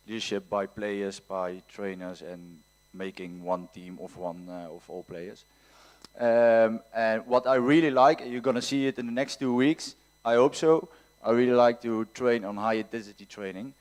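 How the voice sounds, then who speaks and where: A man's voice: lowish voice, low-quality recording. A man, a youth football coach, introducing himself earnestly at a press conference.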